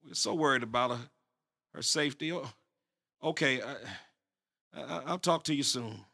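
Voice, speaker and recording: A man's voice: high-pitched, animated, moderate pace, bright, forceful tone, heavily acted. An actor playing a stern, easily riled middle-aged pastor and father who scolds in an exasperated, blustering way. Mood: pleading.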